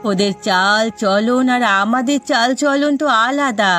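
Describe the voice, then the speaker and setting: A woman's voice: on the high side, measured pace, a bit bright. A woman acts out a middle-aged village mother in a cartoon. She teases and chides in an indulgent, doting way. Music sits behind the voice. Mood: concerned, indulgent. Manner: chiding, indulgent. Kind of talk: Bengali cartoon dialogue.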